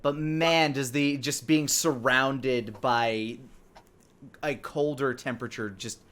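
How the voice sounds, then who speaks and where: A man's voice: mid-range voice, fairly even intonation, fairly bright tone. Man improvising tabletop game narration. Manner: descriptive, conversational, halting. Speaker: dungeon master.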